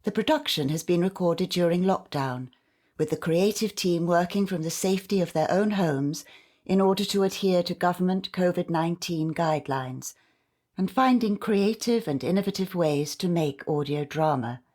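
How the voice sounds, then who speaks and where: Woman, lower-pitched voice, scripted narration, audio play announcer. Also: normal speed, a little mellow.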